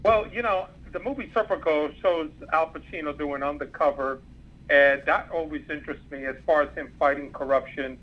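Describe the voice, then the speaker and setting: Older Cuban-born man, native Spanish speaker, rambling phone guest, slightly high voice. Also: normal speed. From Cuba. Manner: rambling, many fillers and restarts. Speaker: former undercover FBI agent, guest. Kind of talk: interview, recounting career. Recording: muffled phone line.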